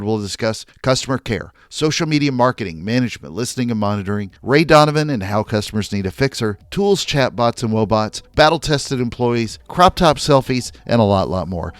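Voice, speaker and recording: A man hosts a business interview podcast, moving from a punchy, upbeat scripted intro into relaxed questions. His pitch sits in an ordinary middle range. The recording is dry and close, with no room sound. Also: dry recording. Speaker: podcast host.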